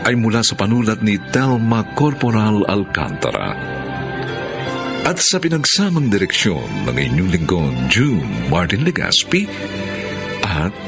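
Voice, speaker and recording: A man's voice: fairly low pitch, wildly swooping pitch, a little slow. A man narrating a radio drama from a script in a solemn, dramatic way as its announcer. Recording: music bed under the voice. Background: music.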